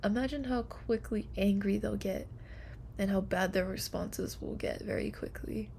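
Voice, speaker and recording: A young woman streamer chatting softly and casually to viewers, with a whispery, giggly, playful manner. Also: medium pitch, moderate pace, creaky, a little hiss or hum. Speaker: live streamer. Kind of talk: soft, casual sleep-stream chat with viewers.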